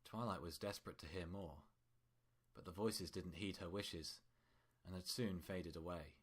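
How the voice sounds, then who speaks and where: A man with a low-pitched voice narrates a piece of fiction. He reads scripted prose as the storyteller, in a deep register. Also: normal speed, slightly rough.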